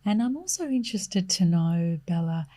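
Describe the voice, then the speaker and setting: A woman's voice: on the low side, animated, moderate pace, a crowd in the background. A woman hosting a podcast interview, asking hesitant, thoughtful questions.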